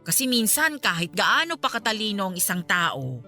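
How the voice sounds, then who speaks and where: Worried middle-aged mother, Filipino accent, sharp bright tone, protective, radio drama, music bed. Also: medium pitch, big pitch swings, normal speed. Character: anxious, protective middle-aged mother fretting over her child.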